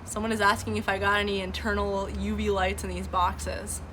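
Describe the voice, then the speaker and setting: Bright-voiced woman, earnest streamer. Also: medium pitch, flat delivery, moderate pace, slight background noise, rough recording. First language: Farsi. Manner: earnest, lots of fillers and restarts. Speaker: livestream host. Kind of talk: casual political commentary, reading chat. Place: home room with boxes behind.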